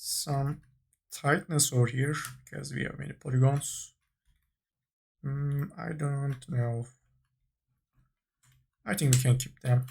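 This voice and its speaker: A man giving an unscripted software tutorial, thinking aloud in short, halting phrases as he instructs. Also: mid-range voice, fairly even intonation, vocal fry, a little hiss or hum.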